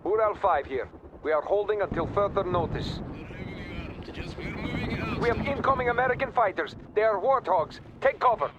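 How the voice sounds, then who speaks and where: A convoy soldier from a war game, alert and terse. The voice is high for a man and delivers short military reports over loud battlefield noise. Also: animated, moderate pace, pauses often, dull audio. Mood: urgent, alarmed. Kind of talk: scripted video game radio chatter.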